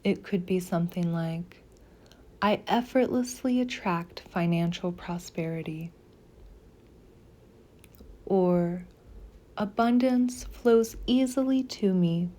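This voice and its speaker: Slow, soft, mellow-voiced woman guiding meditation. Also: lowish voice. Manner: soft, gentle, deliberate phrasing with pauses. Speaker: meditation guide. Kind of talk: guided meditation, scripted soothing instruction.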